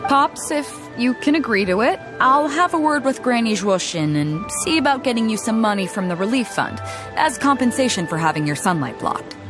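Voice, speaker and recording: A woman voicing a confident, easygoing female ship captain who settles disputes with an amused, relaxed manner. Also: a little high, moderate pace, lightly acted. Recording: game cutscene with loud background music.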